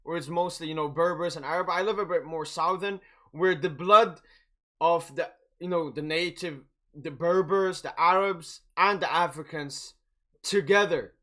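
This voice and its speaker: Young male football streamer, high bright voice, cocky and joking, casual chat delivery. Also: moderate pace. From Sweden and Algeria. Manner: boastful, jokey, prone to chuckling. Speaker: football watch-along livestream host. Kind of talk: casual livestream chat, match commentary.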